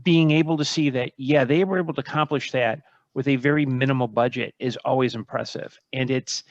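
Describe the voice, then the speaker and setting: Gravelly man on a dull, dry mic. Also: mid-range voice, fairly even intonation, fairly quick, dry recording. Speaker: panelist. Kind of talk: casual panel discussion.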